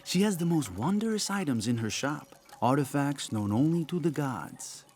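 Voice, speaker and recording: A man's voice: fairly high pitch, lively intonation, normal speed, a little hiss or hum. An actor voicing a wise, genial man from a hidden city who speaks in proverbs in a video game cutscene. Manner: warm, sage, proverb-quoting. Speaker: townsman sharing proverbs.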